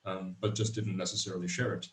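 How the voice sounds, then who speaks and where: Low man, far and echoey. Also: flat delivery, fast, fairly bright tone. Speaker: project team member. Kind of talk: online meeting explanation. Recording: echoey room, far from mic, faint noise.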